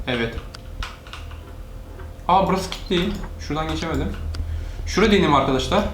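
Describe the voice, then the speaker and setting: A man's voice: on the high side, a little fast, fairly bright tone. A man hosting a casual let's-play, narrating each move for viewers as a parent playing along with a child. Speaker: gaming channel host, parent playing with child. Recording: echoey room, faint noise.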